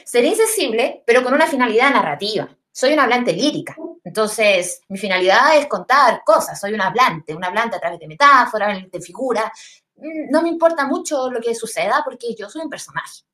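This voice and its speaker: A woman's voice: a little high, expressive, brisk, a bit bright. A woman in her thirties from Chile, with a Chilean accent, telling a funny personal story in a rambling, slangy way with swearing. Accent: Chilean Spanish. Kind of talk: casual comic storytelling.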